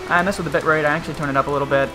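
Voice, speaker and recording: Guy doing chatty lets play, kinda high voice, rambles and restarts, music underneath. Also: fairly even intonation, racing. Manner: rambling, self-interrupting. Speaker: gaming video host. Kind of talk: casual let's-play commentary. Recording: game music behind the voice. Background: video game music and sounds.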